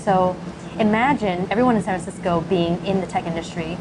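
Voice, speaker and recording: Relaxed woman from Hong Kong, noisy background. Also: lowish voice, fairly quick. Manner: relaxed, often ends points with "right?". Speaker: managing partner of a digital agency. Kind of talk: conversational interview chat. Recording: clear background noise.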